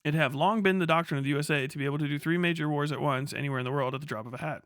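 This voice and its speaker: A man with a fairly high, sharp and forceful voice hosts a casual livestream. He rants with profanity and sarcasm, slipping into mock dialogues. The sound is dry and close. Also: very fast, no room sound. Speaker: livestream host. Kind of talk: casual livestream political rant, reading chat.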